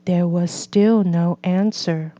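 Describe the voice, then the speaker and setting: Woman doing a kids read-aloud, super slow n deliberate, dry close sound, bit dull lo-fi. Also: on the low side, steady tone, dark tone, no echo. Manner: measured, deliberate delivery for learners. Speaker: storybook reader. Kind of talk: slow scripted children's read-aloud narration.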